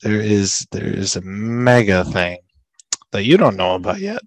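A man's voice: fairly low pitch, very animated, a little slow, no echo. A man co-hosting a casual sports chat while losing his voice, reading posts aloud and laughing often. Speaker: podcast co-host.

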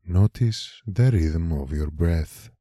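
A man leading a guided meditation in a calm, measured manner, leaving long pauses between short phrases. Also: low voice, no room sound, clean studio sound. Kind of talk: slow guided meditation narration.

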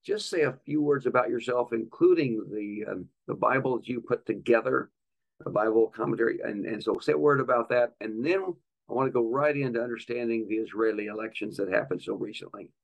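A man's voice: mid-range voice, a little fast, creaky, low-quality recording. A man hosting a podcast as a pastor, introducing and questioning a guest in conversation. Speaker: podcast host. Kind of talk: conversational interviewing.

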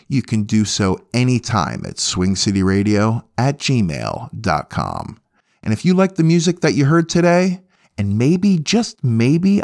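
A man's voice: lowish voice, a little mellow. A man hosting a music podcast in a casual, folksy, self-deprecating way. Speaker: podcast host.

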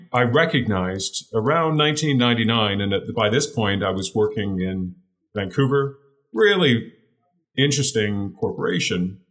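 Guy in his 40s, deeper voice, interview guest telling his story, keeps restarting words, earnest. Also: fairly bright tone. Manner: earnest, repeats words while searching for phrasing.

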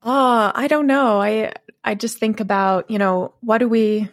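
A woman's voice: a little high, fairly even intonation, measured pace, dry recording, studio quality. A woman in a conversational podcast discussion, speaking in a hesitant, tentative, reflective way.

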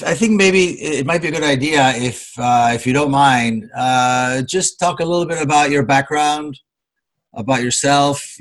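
A man interviewing a guest in a casual, conversational way, searching for his words as he goes. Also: mid-range voice.

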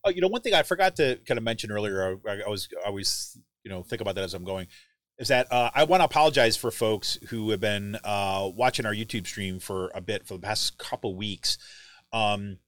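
A man's voice: on the low side, lively intonation, a little fast, a bit bright, no echo. A man hosting a podcast, chatting casually and rambling with many fillers and restarts, recorded on a studio podcast mic. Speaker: podcast host. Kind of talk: casual chat.

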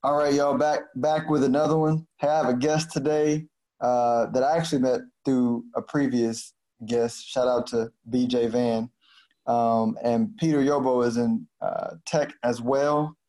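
A man's voice: mid-range voice, dark tone, poor audio. A man hosting a casual interview podcast, laid-back and slangy, with frequent fillers as he introduces and questions his guest. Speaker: podcast host.

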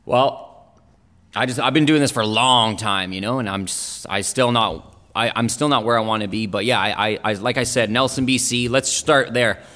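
A man's voice: medium pitch, moderate pace, sharp tone, in a large hall. A man whose first languages are German and Italian, a rapper guest talking in a laid-back, slangy way with lots of fillers and restarts. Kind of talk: casual interview chat.

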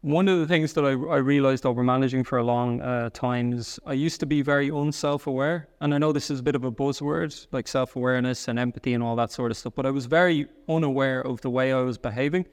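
A man in his thirties with a soft Irish accent, talking earnestly in an interview, often restarting and using "like". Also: medium pitch, steady tone, long reverb tail. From Ireland. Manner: earnest, quick, with restarts and "like" fillers. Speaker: guest. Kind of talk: conversational interview.

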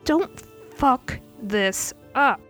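A gruff pirate man who swears through every line and barks his threats, as a woman narrator puts him on. He is stern and hard-edged, with faint music playing behind the voice. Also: high-pitched, expressive, very slow, voice pushed up, full character voice. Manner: gruff, cursing, shouting threats. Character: stern, foul-mouthed male pirate enforcer.

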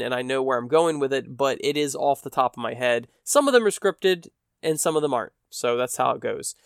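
A man's voice: medium pitch, fairly bright tone, clear, resonant tone, no echo, clean studio sound. A man hosting a casual solo podcast, chatting in a rambling, self-deprecating way while sounding a bit stuffy from allergies. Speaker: podcast host.

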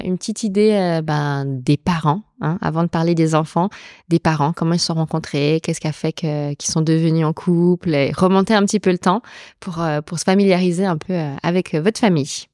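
A woman's voice: fairly low pitch, darkish tone, no room sound, clean studio sound. A woman hosting a podcast interview in an informal, chatty conversational style. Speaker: podcast host.

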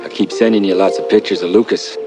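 Male actor as middle-aged ranch man, deep voice, tender, restrained, TV drama, loud music. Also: steady tone, fast, close to an everyday voice. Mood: tender farewell. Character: polite, heavy-hearted middle-aged Texas ranch man. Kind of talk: television drama acting.

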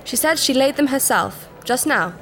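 An actress playing a proud, secretive alien princess in disguise, formal and precise, in a sci-fi audio drama. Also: female voice, sits high, animated, moderate pace, noisy background. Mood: polite, matter-of-fact. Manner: formal, precise, earnest, easily affronted.

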